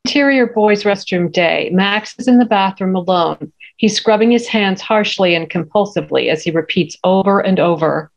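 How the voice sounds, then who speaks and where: A woman's voice: mid-range voice, normal speed, creaky, hardly any pauses, highs cut off. A middle-aged woman reading screenplay directions as scripted narration over a video call. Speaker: stage direction reader. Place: room at home.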